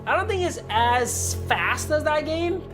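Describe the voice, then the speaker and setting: A woman's voice: high voice, expressive, measured pace. A woman live-streaming a game, reading its text aloud and adding casual, slangy commentary. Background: music.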